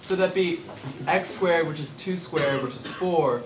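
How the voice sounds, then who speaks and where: A student working a math problem aloud in class, heard from a distance in a classroom. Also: female voice, low-pitched, unhurried. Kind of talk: answering in class. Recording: distant echoey classroom with noise.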